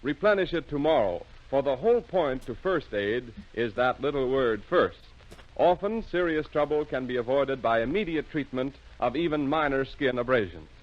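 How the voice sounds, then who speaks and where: A man announcing on old-time radio in a formal, measured, emphatic sponsor style. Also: medium pitch, lively intonation, a little hiss or hum. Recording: dull lo-fi old radio recording.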